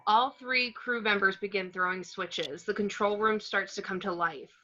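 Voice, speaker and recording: A woman's voice: mid-range voice, normal speed, sharp tone. A woman reading out screenplay scene descriptions as the narrator of a script table read. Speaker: reader of the action description. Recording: dull, lo-fi sound.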